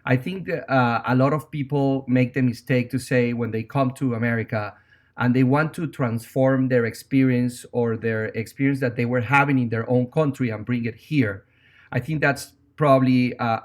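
Man with a Venezuelan Spanish accent. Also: mid-range voice. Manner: reflective, earnest storytelling. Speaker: interview guest. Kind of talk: interview.

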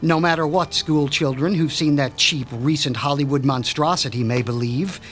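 An actor playing a proud, formal mad-scientist doctor in a radio drama, speaking with precise, pompous diction. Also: male voice, a little high, moderate pace, a bit bright, soft background music, lightly acted. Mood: measured. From Switzerland. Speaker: mob doctor.

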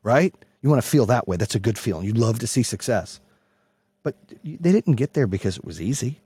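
A man giving a brash, profane, emphatic motivational monologue in a husky voice, repeating phrases for punch. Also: medium pitch, expressive, brisk, dark tone, no room sound. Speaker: motivational speaker and host.

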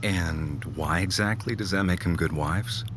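Very deep-voiced, understated young man. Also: measured pace, noisy background. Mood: hesitant, low-key. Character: wary, easygoing young man, drily narrating his own eerie story. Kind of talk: audio fiction acting with first-person narration.

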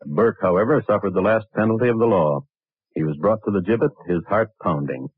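An actor playing a wry, deliberate narrator of historic crimes in a 1950s radio drama. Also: male voice, lowish voice, breathy edge, no room sound. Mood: wry, deadpan. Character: dry, deadpan male storyteller of historic crimes. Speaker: narrator and host. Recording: tinny old radio recording, faint music.

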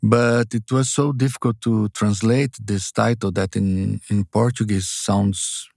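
An older man with a Brazilian accent, a guest author speaking haltingly and searching for words in an interview. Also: on the low side, steady tone, creaky, darkish tone, no echo, clean studio sound. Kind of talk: interview conversation.

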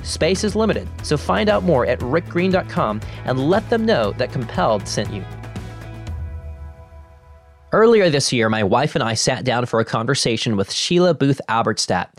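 A man hosting the show, reading an ad and narration in a smooth, even announcer delivery. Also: mid-range voice, normal speed, soft background music. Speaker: host. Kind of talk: scripted narration and ad read.

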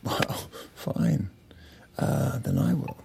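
A man playing a tipsy, wounded husband in a naturalistic audio drama, halting and muttering as he pleads. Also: mid-range voice, steady tone, gravelly, background noise, exaggerated voice. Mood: resigned, provocative. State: slightly drunk. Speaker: actor in scripted audio drama.

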